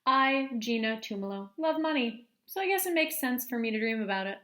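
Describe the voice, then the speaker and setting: A woman's voice: on the high side, animated, fairly quick, sharp tone. A woman voicing an eager, money-loving eleven-year-old girl who narrates a storybook earnestly and with dramatic emphasis. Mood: earnest, confessional. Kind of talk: storybook first-person narration.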